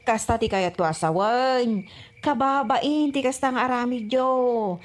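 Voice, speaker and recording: A woman's voice: on the high side, a little slow, close to an everyday voice. A woman with an Ilocano accent playing an earnest, insistent wife and mother in a comedy radio drama. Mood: scolding. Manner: scolding, insistent.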